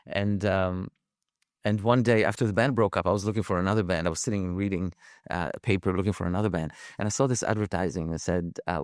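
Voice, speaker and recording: Low-voiced man telling his story. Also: darkish tone, no echo, studio quality. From Lebanon. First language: French. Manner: halting, many restarts and fillers, swears casually. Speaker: podcast guest. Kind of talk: casual storytelling.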